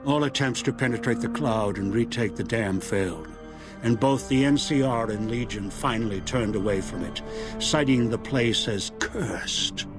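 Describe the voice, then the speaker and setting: An actor narrating a video game epilogue as a grave, measured storyteller of a ruined wasteland. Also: male voice, mid-range voice, close to an everyday voice. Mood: somber, ominous. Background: music.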